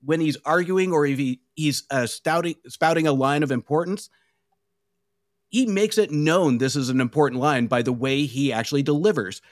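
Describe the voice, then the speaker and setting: A man's voice: on the high side, moderate pace, no room sound, studio quality. A man guesting on a podcast, talking through a film in a thoughtful, casual way.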